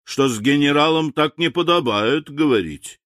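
A man's voice: medium pitch, big pitch swings, unhurried, bright, forceful tone, no echo, clean studio sound. A man voicing a commanding, hot-tempered general with a curt, imperious bark, in an audiobook story. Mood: angry.

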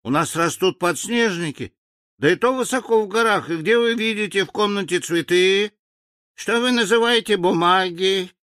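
A man's voice: sits high, normal speed, bright, forceful tone, dry recording, clean studio sound, pitched above the performer's own voice. A man voicing a meek, bewildered villager who speaks in a timid, plaintive way, in an audiobook fairy tale. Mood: baffled. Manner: timid, plaintive, literal-minded. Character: meek, bewildered male villager from a magic land.

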